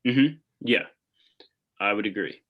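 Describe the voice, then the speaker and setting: A man's voice: fairly low pitch, takes it slowly, narrow, tinny audio. A man co-hosting a podcast in casual chat, thinking aloud and often hedging what he says. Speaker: podcast co-host.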